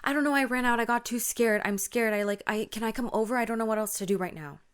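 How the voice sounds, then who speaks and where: A woman's voice: medium pitch, moderate pace, a little mellow, close to an everyday voice. A woman voicing a panicked, pleading young woman on the phone to a friend in a scary story, with frantic, repetitive speech. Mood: frightened, pleading. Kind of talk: spoken scary-story dialogue.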